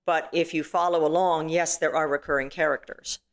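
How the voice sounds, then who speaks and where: A middle-aged woman with a booming, stage-trained voice, chatting casually as an interview guest with lots of "you know"s and restarts. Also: steady tone, fairly quick. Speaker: filmmaker and actress guest.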